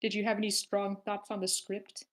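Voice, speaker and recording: A woman's voice: mid-range voice, monotone, moderate pace. A woman hosting an informal group discussion, chatting casually and wordily as she feels her way toward her points. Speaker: host of a screenplay table read discussion. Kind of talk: casual chat.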